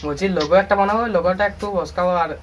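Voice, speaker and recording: Fast-talking young Bengali prankster guy. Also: high-pitched, noisy background. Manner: teasing, evasive, repeats himself. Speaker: prank caller and video creator. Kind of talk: casual prank phone chat.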